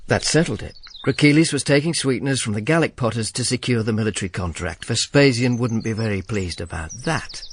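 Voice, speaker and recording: An actor playing a wry, world-weary Roman imperial agent in a radio drama, with a dry, sardonic, probing manner. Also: male voice, mid-range voice, animated, brisk, few pauses, slight background noise, close to an everyday voice. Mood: wry, confiding. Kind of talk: radio drama dialogue and first-person narration.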